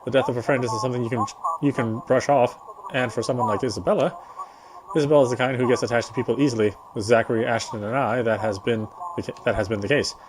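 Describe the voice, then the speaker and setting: A man's voice: medium pitch, steady tone, fast talker, background noise. A man reading visual novel narration aloud during a playthrough, sometimes stumbling and rereading a phrase. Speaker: gamer reading story text in a playthrough.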